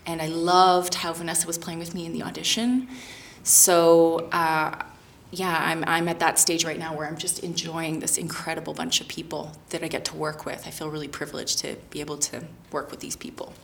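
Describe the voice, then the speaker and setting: A woman's voice: low-pitched, flat delivery, moderate pace, gravelly, slight background noise. A woman, a theatre actor, talking appreciatively about joining a production. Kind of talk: interview.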